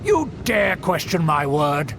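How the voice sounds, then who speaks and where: A man's voice: a little high, sing-song, takes it slowly, vocal fry, exaggerated voice. A man playing a haughty, proud nobleman in a video game, speaking in a pompous, formal, grandiose way. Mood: indignant. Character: haughty, proud male noble plotting his clan's return to power. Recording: music under the dialogue.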